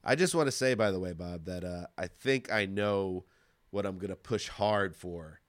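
A man chatting casually and enthusiastically as co-host of a music podcast, informal and quick to swear. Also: low-pitched, no room sound, studio quality. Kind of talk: casual chat. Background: pop-rock songs playing.

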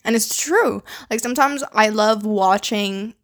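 A woman's voice: medium pitch, measured pace. A young woman from the Philippines who speaks with a Canadian accent, talking alone in a casual podcast monologue with lots of "like" and "you know" fillers and offhand swearing. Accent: Canadian English. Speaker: podcast host.

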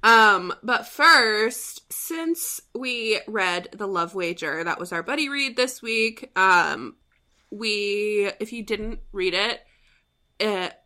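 A woman's voice: medium pitch, expressive, takes it slowly, sharp tone. A young woman co-hosting a book podcast, chatting casually and laughing, just woken up and a bit rambling. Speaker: podcast co-host. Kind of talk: casual chat.

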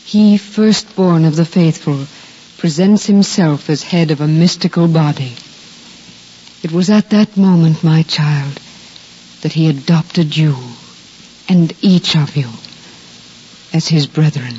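A solemn, tender holy mother speaks slowly in a mellow voice. The acting is reverent 1950s radio drama, on a dull old recording with faint music underneath. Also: low voice, a little hiss or hum. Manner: solemn, tender, measured phrasing. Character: devout sorrowful mother of Christ, tender, solemn.

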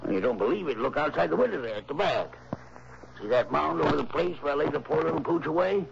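An actor playing a short-tempered, blustering killer and blackmailer in a 1960s radio drama, stammering through slangy tough talk. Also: male voice, a little high, animated, fast talker, gravelly. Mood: defensive, irritated. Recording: noisy, dull old radio recording.

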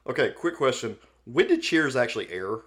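A man's voice: medium pitch, sing-song, normal speed, fairly bright tone. A man co-hosting a podcast in casual, comedic fan chat, joking in a wry, offhand way. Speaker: podcast co-host.

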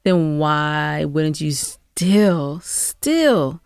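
A woman's voice: sits low, lively intonation, drawn-out words, a little mellow, clear, resonant tone, no echo, studio quality. A woman co-hosting a casual advice discussion, stating her opinions plainly and challenging the other speaker. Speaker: podcast co-host.

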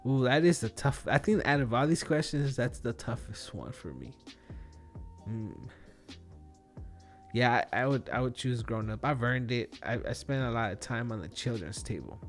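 A man's voice: mid-range voice, expressive, moderate pace, faint music behind. A man in his thirties chatting casually and solo as a podcast host, thinking aloud in a rambling, slangy way. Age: 30s. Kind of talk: casual solo podcast chat, answering questions.

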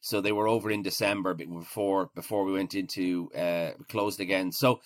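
An Irish man in his forties talking casually as an interview guest, halting and often repeating his words. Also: low-pitched, fairly even intonation. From Ireland. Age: 40s.

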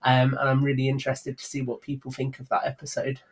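A man with a medium-pitched voice speaks as a podcast guest. He explains things in an earnest, conversational way, as in a relaxed interview. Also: quick pace, breathy edge. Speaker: podcast guest, audio drama writer and producer. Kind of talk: casual interview chat.

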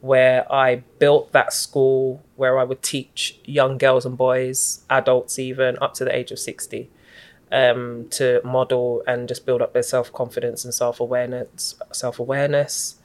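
A middle-aged woman, a guest in an interview, recounting her story in a reflective, even-toned, unhurried way. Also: extremely low pitch. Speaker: guest, community activist.

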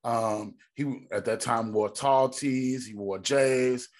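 A man in his thirties from Texas with a deep voice, a podcast guest telling his story in a reflective way and thinking aloud with many ums. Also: fairly even intonation, takes it slowly.

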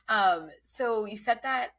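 A woman from Bangladesh, not an English speaker, hosting a podcast interview and asking questions with many ums and restarts. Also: a little high. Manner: warm curious questioning with many fillers. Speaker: podcast host. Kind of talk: casual interview.

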